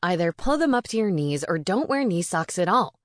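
Woman as male vampire, lowered pitch, snide, fussy, scoffing, exasperated, storybook character, dry sound. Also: lively intonation, fast talker, darkish tone, dry recording, lightly acted.

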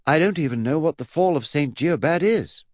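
A man's voice: fairly high pitch, expressive, moderate pace, no room sound, voice pushed up, lightly acted. A patient boy of about twelve acted out by a man reading a storybook speaks in a raised, close and dry voice. He is earnest and polite, a little hesitant, and sounds puzzled by the grown-up world. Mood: patiently protesting. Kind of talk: comic children's audiobook character voice.

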